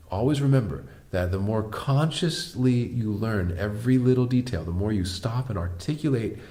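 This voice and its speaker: A man with a deep voice teaching a piano lesson, patiently explaining step by step and asking the viewer questions. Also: dark tone. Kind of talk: online music lesson.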